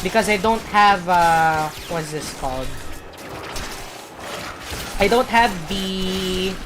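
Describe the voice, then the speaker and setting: A woman streamer giving rambling gameplay commentary, full of fillers and pauses to search for words. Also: mid-range voice, over music.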